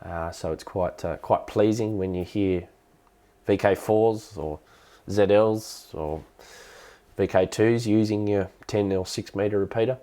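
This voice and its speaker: A man with an Australian accent and a cold, explaining things casually and haltingly as the host of a hobbyist live stream. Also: on the low side, fairly even intonation, mellow. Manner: halting, hesitant delivery with repeated words. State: has a cold.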